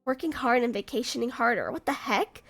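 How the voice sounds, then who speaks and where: A sick, hoarse woman voicing a small chatty female companion creature, reading game lines aloud. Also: fairly high pitch, a little fast, fairly bright tone. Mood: teasing. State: stuffy and hoarse.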